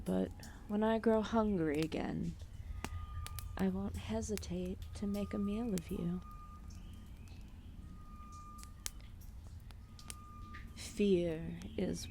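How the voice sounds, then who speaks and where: Woman voicing a slow, creaky, ancient serpent goddess. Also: mid-range voice, fairly even intonation, mellow, slight background noise. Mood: calmly warning. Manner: slow, soothing, formal, breathy whispered phrases. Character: ancient lethargic serpent goddess, protective, calmly menacing. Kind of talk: ASMR roleplay, intimate soft-spoken acting.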